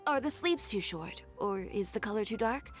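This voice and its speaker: A woman's voice: medium pitch, lively intonation, normal speed, soft background music, close to an everyday voice. A woman playing a poised young fashion designer in a video game, composed, frank and businesslike. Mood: calm, professional.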